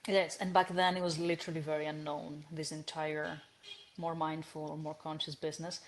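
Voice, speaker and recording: A woman's voice: sits low, slight background noise, rough recording. Woman telling her story. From Greece. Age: 30s. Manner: animated storytelling with frequent restarts and light laughs. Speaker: guest, entrepreneur. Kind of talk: conversational podcast interview.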